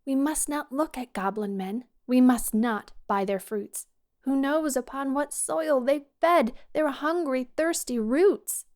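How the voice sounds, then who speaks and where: A woman's voice: high-pitched, takes it slowly, a little mellow. Laura, a curious young maiden, read by a woman. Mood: eager.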